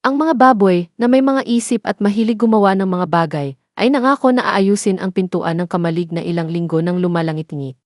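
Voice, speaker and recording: A woman's voice: mid-range voice, brisk, a little mellow, dry recording, clean studio sound. A woman with a Filipino accent narrating a scripted children's bedtime story. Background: music bed.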